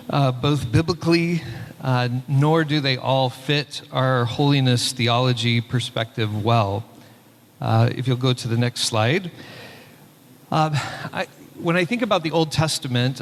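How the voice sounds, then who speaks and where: A man, a pastor and church superintendent, presenting a conference paper in a relaxed way with many filler words. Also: medium pitch. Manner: relaxed, speaks with frequent "uh" fillers. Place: conference hall. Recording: long hall reverb.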